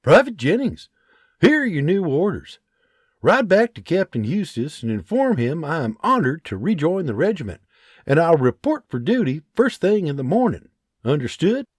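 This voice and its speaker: A man voicing an eager young colonial scout who talks in an excited, rustic way in an audiobook. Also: on the high side, normal speed, breathy edge, dry recording, clean studio sound, pitched above the performer's own voice. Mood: spirited. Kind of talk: audiobook character voice.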